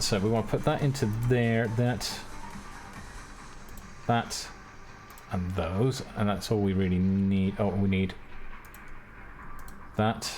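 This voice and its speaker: A man streaming a building game, muttering casually step by step to himself with self-mocking asides. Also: on the low side. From Britain. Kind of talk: live-stream gameplay commentary. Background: his own background music.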